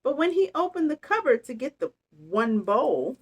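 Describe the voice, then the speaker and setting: A woman reading a picture book aloud, expressive and playful, with chatty casual asides to children. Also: high-pitched, moderate pace, husky. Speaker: storytime reader.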